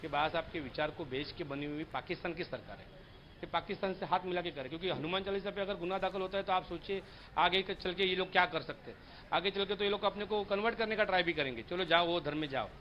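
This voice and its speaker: A man delivers an impassioned political statement at a very fast pace. His voice sits a little high. The field recording is dull, with faint noise around him. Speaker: political party leader speaking to media.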